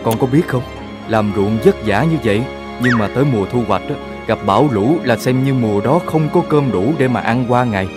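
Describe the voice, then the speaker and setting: Casting: man playing patient animal father in a kids' cartoon, mid-pitched, earnest, explanatory, music underneath. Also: brisk, natural voice. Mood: reflective. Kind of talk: animated children's cartoon dialogue.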